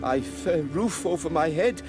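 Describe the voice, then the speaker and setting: A proud yet servile noble winemaker from a fantasy game. He speaks slowly in a raised male register, formal, deferential and haltingly anxious. Music is clearly audible behind him. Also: on the high side, animated. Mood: confessing. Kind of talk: video game voice acting.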